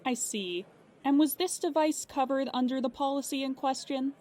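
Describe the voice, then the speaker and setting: A woman acting a polite, unflappable customer service agent in an audio drama, formal and measured. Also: sits high, darkish tone, close to an everyday voice. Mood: guarded.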